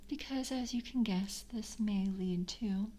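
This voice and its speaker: A woman acts out a courteous, hungry vampire of the coven in an ASMR roleplay. She speaks in a hushed, airy whisper with a soft, dark tone. Her delivery is slow, deliberate and formal, with a seductive intimacy. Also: mid-range voice, natural voice. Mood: confiding, formal. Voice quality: breathy, mostly whispered.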